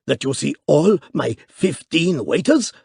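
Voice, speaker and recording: Breathless frantic innkeeper with broken english, high and loud, gravelly, voiced by a man. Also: big pitch swings, measured pace, dry recording, clean studio sound, exaggerated voice. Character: panicky foreign hotel proprietor, breathless, stammering.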